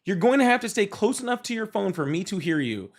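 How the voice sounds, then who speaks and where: A man hosting a live political call-in show, pressing a caller with rapid, interrupting questions in a heated debate. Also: high voice, big pitch swings.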